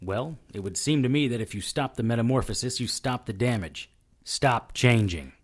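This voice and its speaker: An actor playing a wisecracking paranormal detective in an audio drama, with a sardonic, hard-boiled, dramatic delivery. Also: male voice, medium pitch, expressive, normal speed, close to an everyday voice. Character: wisecracking male paranormal detective narrating his own ordeal. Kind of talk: audio drama narration and dialogue.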